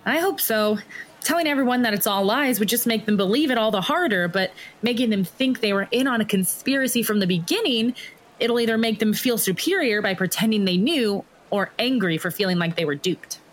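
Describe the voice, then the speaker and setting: An actress playing an excitable, bossy woman conspirator in an audio drama. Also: a little high, a little fast. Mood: hopeful, explaining. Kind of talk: radio drama acting.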